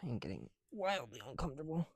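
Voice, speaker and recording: A man's voice: mid-range voice, sing-song, fast, breathy edge, dry recording. A man doing casual ASMR commentary, muttering to himself close to the mic as he works through a hands-on task. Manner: talking to self, musing aloud. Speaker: ASMR video creator.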